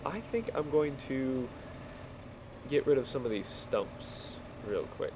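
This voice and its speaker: A man casually telling a rambling story while playing a game, halting and repeating words as he goes. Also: medium pitch, big pitch swings, noisy background. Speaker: video game player telling stories.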